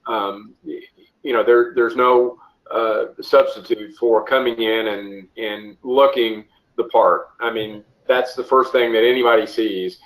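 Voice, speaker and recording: Halting man answering on a group call. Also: fairly low pitch, fairly even intonation, poor audio. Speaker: officiating coordinator, interview guest.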